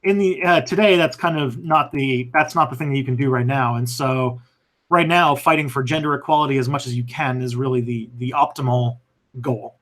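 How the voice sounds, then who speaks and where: A man's voice: mid-range voice, fairly quick, a bit bright. A man hosting a live stream and answering viewer questions in a thoughtful, hesitant way, with many fillers and restarts. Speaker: history channel host answering viewer questions.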